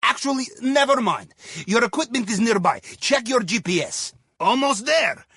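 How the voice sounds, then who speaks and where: Raspy, animated Russian submarine captain. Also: male voice, extremely high pitch, normal speed, dry recording, full character voice. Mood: brisk, amused. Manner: dry humour, clipped broken English. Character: wry, jovial Russian submarine captain guiding a heist crew. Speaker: mission guide voice in a video game. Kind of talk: scripted video game radio dialogue.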